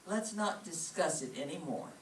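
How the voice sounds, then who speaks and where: A woman's voice: low voice, expressive, moderate pace. A patient, reproachful wife played by a woman in an old-time radio comedy. She scolds dryly but fondly, heard at a distance in a roomy space with faint noise behind her. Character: long-suffering, scolding yet affectionate wife.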